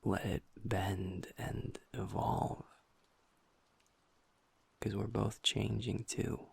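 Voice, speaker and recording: A man voicing a happy-go-lucky young male werewolf boyfriend in an intimate audio roleplay, talking softly and earnestly to his partner. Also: fairly low pitch, level tone, drawn-out words, no room sound, heavily acted. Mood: tender, affectionate. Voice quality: soft, breathy, half-whispered. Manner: earnest, affectionate, talks to the listener as partner.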